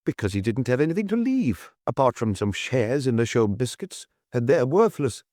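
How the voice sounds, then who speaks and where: A man's voice: medium pitch, sing-song, moderate pace, darkish tone, no echo, clean studio sound, close to an everyday voice. A man voicing a curt, discreet notary in an audiobook, clipped, formal and unforthcoming. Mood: curt, dismissive. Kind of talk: audiobook character dialogue.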